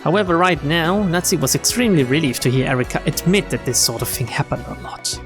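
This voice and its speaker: Expressive male streamer reading over music. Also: a little high, fast talker. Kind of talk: reading game narration aloud with casual commentary. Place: game stream with music behind.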